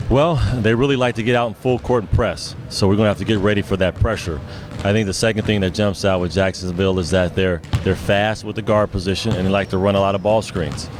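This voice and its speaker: A man's voice: on the low side, fairly even intonation, fast, noisy background. A man, a basketball head coach, giving casual answers in a sports interview.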